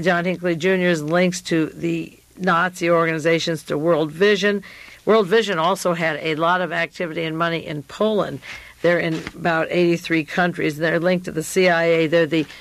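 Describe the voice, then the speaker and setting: A woman hosting a radio show, delivering a rambling political monologue with frequent pauses. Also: on the low side, a bit bright, no echo.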